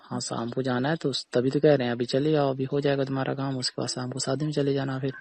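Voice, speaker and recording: A man's voice: medium pitch, level tone, fast, darkish tone, slight background noise, lightly acted. A man playing a defensive tailor who keeps explaining himself insistently to a customer in a casual phone conversation. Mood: patient, insistent. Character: defensive male tailor arguing patiently with a customer.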